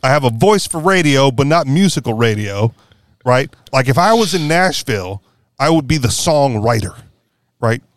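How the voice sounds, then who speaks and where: A man's voice: medium pitch, expressive, moderate pace, no room sound. A man hosting a podcast in casual political chat, rambling and correcting himself with a wry tone. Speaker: podcast host.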